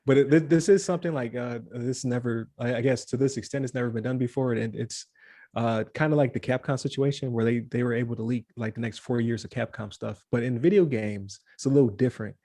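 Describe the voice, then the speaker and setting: A man's voice: mid-range voice, fairly quick, mellow, dry recording, studio quality. A man co-hosting a casual podcast chat, joking and rambling with lots of fillers and restarts. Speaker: podcast co-host.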